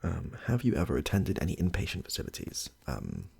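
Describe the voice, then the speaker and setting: A man acts out an affable clinician in an ASMR roleplay, very breathy and husky with heavy vocal fry. He is soft-spoken and rambles hesitantly through his questions, reassuring as he goes. His delivery swings lively up and down. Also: low-pitched, a little fast, exaggerated voice. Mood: attentive, mildly flustered. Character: chatty, affable male medical intake clinician with a questionnaire.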